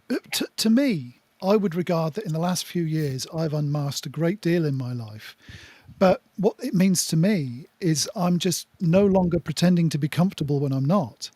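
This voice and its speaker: An older man in a casual panel discussion, speaking in a measured, deliberate way. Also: a little high, animated, warm tone, no room sound.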